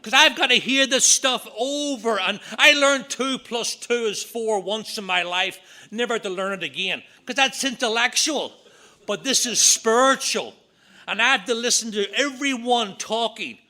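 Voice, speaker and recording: Bright-voiced Northern Irish man. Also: extremely high pitch. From Northern Ireland. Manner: earnest, repetitive, quick asides. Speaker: AA speaker. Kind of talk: recovery meeting talk. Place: meeting room.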